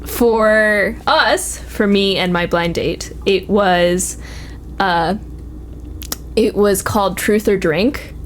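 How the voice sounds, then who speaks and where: A woman's voice: medium pitch, slow. Woman in her twenties, noisy recording. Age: 20s. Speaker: university program administrator, guest. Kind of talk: casual conversational storytelling. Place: office. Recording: noticeable background noise.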